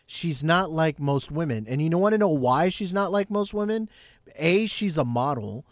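A man co-hosting a casual podcast, excitable and rambling, with a fairly high voice. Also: moderate pace, no room sound, studio quality. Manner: excitable, rambling, talks in bursts. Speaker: podcast co-host.

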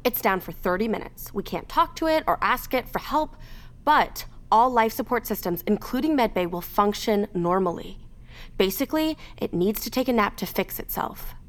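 An actress playing an earnest, practical crew leader in an audio drama, speaking directly and naturally. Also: female voice, medium pitch, animated, normal speed, close to an everyday voice. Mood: businesslike. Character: earnest, practical woman leading a trapped crew.

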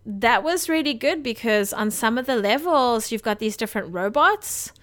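Clear-voiced woman chatting casually about movies. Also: on the high side, lively intonation, moderate pace, a bit bright, no echo. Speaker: podcast co-host. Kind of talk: casual movie discussion chat.

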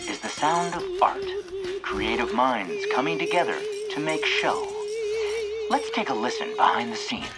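Picture a showy, arch radio-hour host. His theatrical narration swings dramatically in pitch, with music playing underneath. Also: sing-song, moderate pace.

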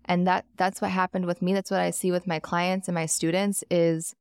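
A woman with a very low voice and a gravelly fry speaks as a podcast guest. She talks in an earnest, emphatic and reflective way. The sound is dry and close. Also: flat delivery, normal speed, dry recording. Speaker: podcast guest, wealth coach. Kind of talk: casual podcast conversation.

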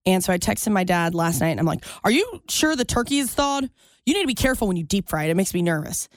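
A woman's voice: medium pitch, big pitch swings, quick pace, no room sound. A woman in her twenties co-hosting a talk show in a casual, chatty style. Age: 20s. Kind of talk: casual chat.

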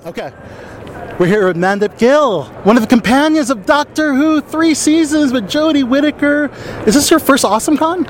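An interviewer hosting a casual convention interview, upbeat and quick with eager affirmations. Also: high-pitched, animated. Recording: faint traffic noise outdoors.

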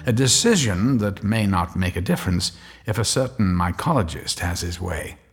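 An actor as a wry, ominous host narrating a radio drama. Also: male voice, sits low, expressive, moderate pace.

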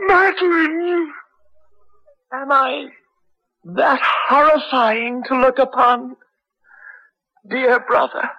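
An actress playing an anguished sister in a gothic radio horror tale, with a slow, wailing, melodramatic delivery. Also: female voice, high voice, fairly even intonation, full character voice. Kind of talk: 1970s radio suspense drama acting. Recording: dull old radio recording with music.

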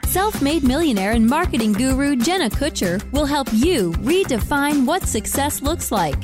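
A woman delivers a scripted promotional intro as a podcast announcer. Her voice is high and very animated, with a polished, upbeat delivery. Music plays clearly behind her. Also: normal speed.

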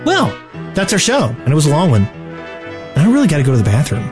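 A man's voice: a little high, huge pitch swings, fast talker, music in the background. A man co-hosting a gaming podcast, bantering casually in a playful, tongue-in-cheek manner. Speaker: podcast co-host.

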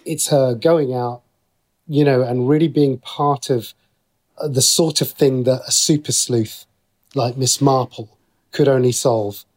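A man's voice: medium pitch, steady tone, measured pace, a little mellow. A man answering interview questions as a writer, talking casually and breaking into his own sentences as he explains. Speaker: guest, TV and radio writer.

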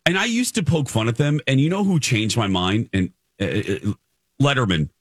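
An American man co-hosting a casual radio chat, with a dramatic, halting delivery and repeated phrases for emphasis. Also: mid-range voice, expressive, breathy edge, no echo, clean studio sound. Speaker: radio show co-host.

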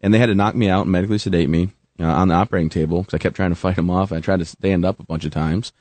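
A man's voice: deep voice, steady tone, racing, a little mellow, dry recording. A man in his thirties, a veteran guest telling his story with self-mocking humor. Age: 30s. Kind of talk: joking then earnest interview talk.

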